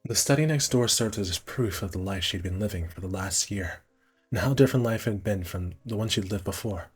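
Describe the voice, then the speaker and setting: A man's voice: on the low side, fairly quick, slightly rough, soft background music. A man casually streaming a visual novel, reading the narration aloud and correcting himself with muttered asides.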